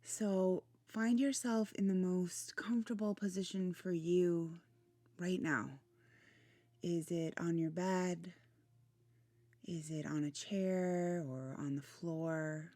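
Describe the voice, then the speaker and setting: Slow, low, soft-voiced woman guiding meditation. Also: steady tone, no echo. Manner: soothing, unhurried, gentle phrasing with pauses. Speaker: meditation guide, channel host. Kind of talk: guided relaxation meditation.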